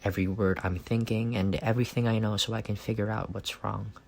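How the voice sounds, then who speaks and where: A man acting a reserved, awkward and earnest best friend in an intimate roleplay, speaking softly and haltingly. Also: lowish voice, fairly even intonation, creaky. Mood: worried, pleading. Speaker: voice actor.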